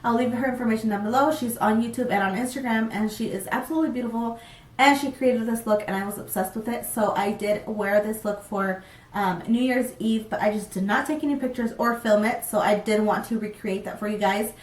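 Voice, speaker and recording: A young woman with a blocked-up, congested voice from a cold talks in a bubbly, rambling way, full of ums, as she walks through a makeup tutorial. Her voice sits a bit high and comes from a little away from the mic, with a roomy echo around it. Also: brisk, far from the mic. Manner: chatty and upbeat, many ums. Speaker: beauty vlogger. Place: small room, plain backdrop.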